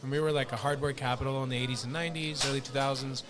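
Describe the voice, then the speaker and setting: A man hosting a podcast, chatting casually and telling stories in an animated way, acting out what other people said to him. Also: mid-range voice, rapid-fire. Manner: animated storytelling, acts out other people's lines. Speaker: podcast host. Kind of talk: casual chat.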